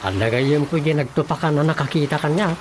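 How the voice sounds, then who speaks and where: A man's voice: a little high, fairly quick, slight background noise, close to an everyday voice. A man playing a meek, apologetic grown son in a radio comedy drama, hesitant and pleading, with an Ilocano Filipino accent. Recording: radio drama with music bed.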